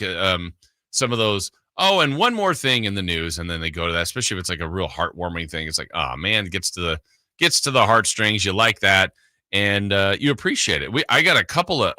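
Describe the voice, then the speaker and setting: A man's voice: on the low side, sharp tone, dry recording, clean studio sound. A man hosting a sports radio show in casual, chatty and enthusiastic talk.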